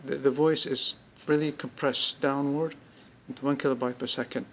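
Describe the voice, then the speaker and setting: A man giving an informal, unscripted product demonstration, speaking haltingly in short pieced-together bursts. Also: mid-range voice, fairly even intonation, a bit bright. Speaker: app demonstrator.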